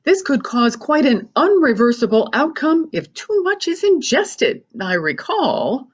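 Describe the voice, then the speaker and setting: A woman's voice: sits high, sing-song, measured pace. A woman playing a wary, polite chemist shopkeeper in an amateur radio drama, hesitant and questioning, on a slightly muffled recording.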